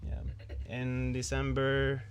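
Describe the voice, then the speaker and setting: A man's voice: mid-range voice, completely flat delivery, slow pace, slight background noise. A man from Morocco, a nightlife organizer in casual radio chat, friendly and laughing easily, sometimes searching for English words.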